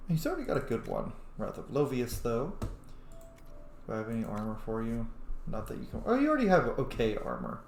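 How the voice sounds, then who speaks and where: A man's voice: mid-range voice, wildly swooping pitch, fairly quick, gravelly, roomy sound, a little hiss or hum. A man giving casual, jokey gameplay commentary, swearing freely and talking back to the screen. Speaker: game streamer, let's player.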